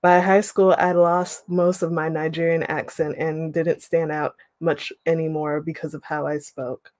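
A young woman leading an online writing workshop, reading a passage aloud and then explaining it with relaxed pauses over a video call. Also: sits low, dry recording. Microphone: webcam on a video call.

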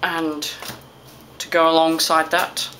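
A woman's voice: low voice, completely flat delivery, slow, pushed and bright, slight background noise. A young woman from England with a British accent, chatting casually to her camera from a small hotel room, tired and self-deprecating. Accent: British English. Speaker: vlogger. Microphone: camera at arm's length.

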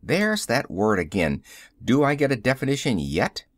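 Narrator doing a skeptical curious man, probing questions, lively pitch, dry sound, audiobook. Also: moderate pace, no room sound. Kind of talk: audiobook character reading.

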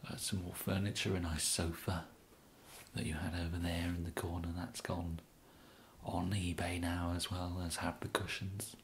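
A young man with an English accent whispering an ASMR roleplay as an awkward, polite kidnapper, halting and apologetic, close to the microphone. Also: deep voice. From England. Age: young adult. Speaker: ASMR performer. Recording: steady background hiss.

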